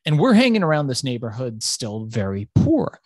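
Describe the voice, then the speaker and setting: A man from Iran, whose first language is Farsi, telling his life story as an interview guest in a swaggering, profane, animated way. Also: medium pitch, normal speed, dry recording, clean studio sound. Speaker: entrepreneur guest.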